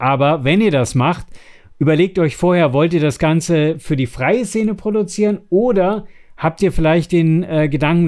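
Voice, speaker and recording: A man's voice: on the high side, a little mellow. A man co-hosts a podcast. He chats casually and offers practical tips, often restarting words as he goes. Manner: halting, stammering over word starts. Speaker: podcast co-host.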